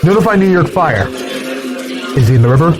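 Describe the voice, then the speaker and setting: Firm controller over radio. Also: male voice, on the high side, lively intonation, fairly quick, a crowd in the background. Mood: firm, urgent. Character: firm male regional air traffic controller. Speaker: air traffic controller. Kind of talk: formal radio procedure acting.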